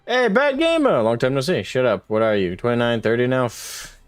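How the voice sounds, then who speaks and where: A man's voice: mid-range voice, sing-song, a little fast, clear, resonant tone, no room sound, studio quality, faint music behind. Rambling young male game streamer. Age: 20s. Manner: rambling, self-deprecating, laughs often. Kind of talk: casual live-stream chat, reading chat.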